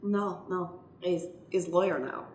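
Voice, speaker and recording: A woman's voice: fairly low pitch, a little slow, a little mellow, natural voice. Woman playing an earnest lady lawyer, roomy sound. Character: breezy middle-aged female lawyer with dominatrix past. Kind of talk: improvised comedy radio play.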